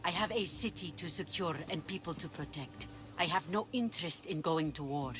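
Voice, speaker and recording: A woman acting a shrewd, menacing yet loyal pirate queen in a video game, speaking in a measured, dry, authoritative way. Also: lowish voice, close to an everyday voice. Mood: shrewd, assured. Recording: music bed under the voice.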